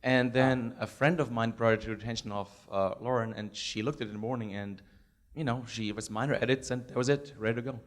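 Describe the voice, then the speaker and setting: A hoarse man, a psychology professor, speaking fast and eagerly from the audience of a panel discussion with many restarts. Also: medium pitch, steady tone. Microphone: handheld microphone.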